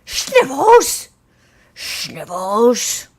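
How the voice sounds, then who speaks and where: An Australian woman hosting a casual live-stream chat, excitable and talkative, reading out viewers' comments. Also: a little high, extremely slow, slightly rough. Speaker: livestream host.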